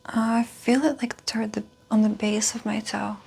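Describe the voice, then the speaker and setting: Woman, patient, husky whisper, flat, mellow, quiet replies. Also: mid-range voice, moderate pace. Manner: quiet, breathy answers with soft murmured agreement. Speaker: patient in ASMR exam roleplay. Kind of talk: whispered ASMR roleplay.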